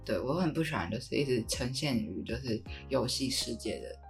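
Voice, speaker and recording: Low-voiced young Taiwanese woman chatting. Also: animated, fairly quick, gravelly, faint music behind. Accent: Taiwanese Mandarin. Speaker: podcast co-host. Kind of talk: casual podcast chat.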